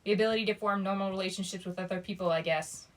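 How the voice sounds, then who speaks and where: A woman's voice: medium pitch, fairly even intonation, racing, lightly acted, rough recording. A woman voicing a weary, guarded teenage boy in a storybook, wry and resigned.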